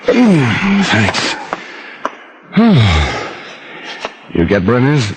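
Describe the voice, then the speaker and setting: An actor playing a tough, wry private detective, narrating and speaking in old-time radio style. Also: male voice, mid-range voice, huge pitch swings, very slow, a little hiss or hum, heavily acted. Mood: weary relief. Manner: hard-boiled, wry. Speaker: lead character and narrator. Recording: vintage radio recording, music behind.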